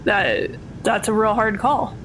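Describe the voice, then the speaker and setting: A woman talks casually as a tabletop game player. Her voice sits at an average female pitch, and there is faint noise in the recording. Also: big pitch swings, slow, a bit bright, poor audio. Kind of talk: casual chat.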